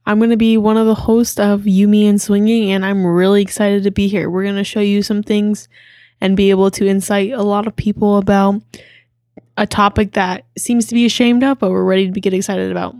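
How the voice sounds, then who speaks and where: A young woman of twenty co-hosting a casual podcast, talking in a halting way with stumbles and restarts. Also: mid-range voice, little pitch movement, darkish tone, no echo, clean studio sound. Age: young adult. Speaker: podcast co-host.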